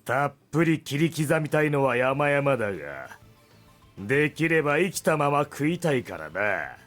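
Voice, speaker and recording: An actor playing a sadistic, bloodthirsty male villain in a visual novel, taunting and menacing in rough speech. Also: mid-range voice, big pitch swings, quick pace, fairly bright tone, faint music behind. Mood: gloating, menacing. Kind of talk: Japanese visual novel voice acting.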